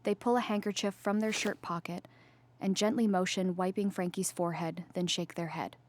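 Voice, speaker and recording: A woman reading scripted stage directions in a measured, neutral, descriptive manner. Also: on the low side, steady tone. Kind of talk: scripted narration, present-tense stage directions.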